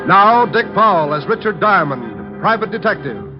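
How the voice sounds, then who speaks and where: A man announces an old radio show in a high, animated voice. Loud music plays behind him on a muffled vintage recording. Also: moderate pace.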